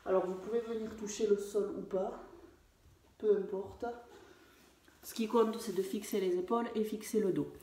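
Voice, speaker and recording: A French fitness coach talking through a home workout, with many hesitations and fillers. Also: female voice, medium pitch, fairly even intonation, normal speed, pauses often, dark tone, a little hiss or hum. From France. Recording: echoey room, voice far from mic.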